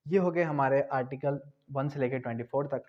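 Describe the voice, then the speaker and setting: A man from Pakistan giving an exam-prep law lecture, asking questions and then answering them, spelling out key terms slowly. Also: medium pitch, darkish tone, lo-fi sound. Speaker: law tutor.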